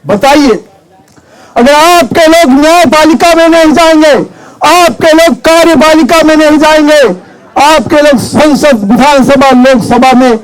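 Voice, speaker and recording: A man, a Buddhist monk, delivers an impassioned political rally speech from a stage, declaiming forcefully and driving points home with repeated rhetorical questions. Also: very high-pitched, rough recording. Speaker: Buddhist monk and activist speaker.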